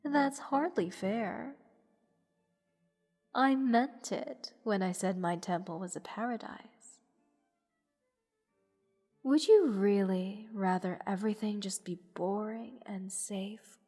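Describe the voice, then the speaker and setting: Woman voicing a playful haughty goddess, audio drama, teasing and condescending, slow delivery, long reverb, music behind. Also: mid-range voice, animated, a little mellow, slightly rough. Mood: coaxing, condescending. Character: haughty, playful goddess toying with her captive mortal. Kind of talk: roleplay audio drama monologue.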